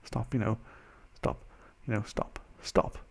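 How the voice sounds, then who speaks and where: A young man with a mild Welsh accent, chatting casually as a vlogger in a rambling, animated, self-interrupting way. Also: slow, heavy background noise. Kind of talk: casual chat to camera.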